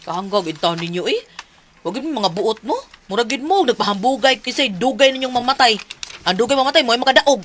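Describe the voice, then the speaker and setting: Woman acting as a high-voiced, exasperated Cebuano wife. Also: lively intonation, fairly quick, a bit bright, a little hiss or hum. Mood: scolding. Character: exasperated, outspoken wife of a betting man. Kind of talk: comedy drama acting.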